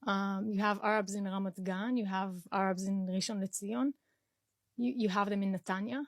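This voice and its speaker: A woman's voice: medium pitch, fairly even intonation, moderate pace, dry recording, studio quality. A young woman from Israel whose first language is Arabic, answering interview questions earnestly with frequent restarts and fillers. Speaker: interview guest.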